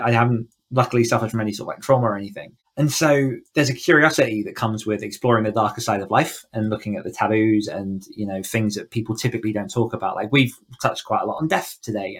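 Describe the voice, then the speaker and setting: A man's voice: medium pitch, fairly bright tone. A man speaking casually and reflectively as an interview guest, feeling out his phrasing in a thoughtful way. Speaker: guest author on a podcast.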